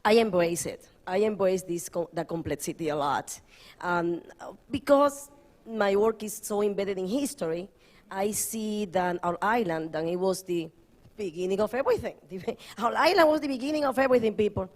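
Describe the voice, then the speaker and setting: A woman's voice: medium pitch, moderate pace. Woman searching for words on a panel. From Dominican Republic. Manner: broken phrasing, searching for words. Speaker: panelist, artist. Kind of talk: panel discussion answer.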